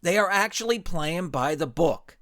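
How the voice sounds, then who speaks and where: Nervous male coach for audio storybook, played by a male narrator: high, whimpering, pleading, animated. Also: moderate pace, no echo, clean studio sound. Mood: firm, halting. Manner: whimpering, insistent.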